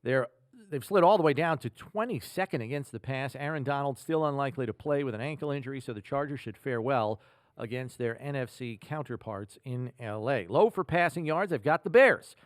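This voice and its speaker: A man's voice: mid-range voice, lively intonation, fairly quick, no echo, studio quality. A man hosting a sports podcast, talking and quizzing with an emphatic delivery. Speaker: podcast host. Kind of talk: sports talk and trivia quiz.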